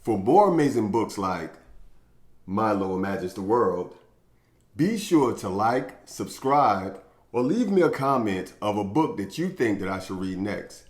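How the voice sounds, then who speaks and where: A man hosts a children's storytime in a fairly low voice. His pitch moves very freely as he reads. He phrases dramatically, with pauses for his young listeners. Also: very animated. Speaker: children's storytime reader and channel host. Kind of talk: expressive picture-book read-aloud.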